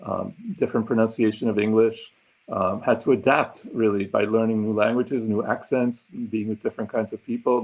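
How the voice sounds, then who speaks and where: A man in his sixties from Argentina, whose first language is Spanish, speaks as an interview guest from his home office. His voice is fairly low and a little husky. He talks thoughtfully, often pausing on ums and uhs. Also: darkish tone, low-quality recording. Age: 60s. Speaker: guest, consultant. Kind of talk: conversational interview answers.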